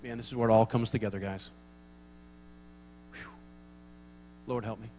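Animated pastor preaching in a reverberant church. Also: male voice, fairly low pitch, normal speed, soft background music. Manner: animated storyteller, acts out dialogue, laughs at own jokes. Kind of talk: sermon with humorous stories.

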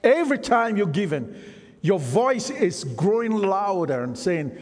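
A man's voice: high-pitched, sing-song, a little slow, darkish tone. A man, Isoko his tongue, preaching a sermon and engaging the congregation with questions. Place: church hall. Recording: reverberant hall.

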